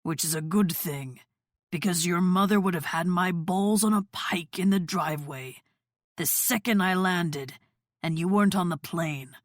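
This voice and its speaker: A woman playing a calm, commanding father who runs a crime family in an audiobook. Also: on the low side, unhurried, no echo, clean studio sound, natural voice. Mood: wry. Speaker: audiobook narrator voicing dialogue. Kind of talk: audiobook character dialogue.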